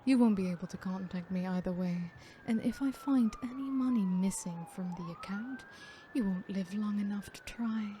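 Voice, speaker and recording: A woman playing a sadistic, smug female serial killer in an ASMR horror roleplay, theatrical and unhurried, often dropping into breathy whispers. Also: medium pitch, dark tone, a little hiss or hum, natural voice. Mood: cold, curt.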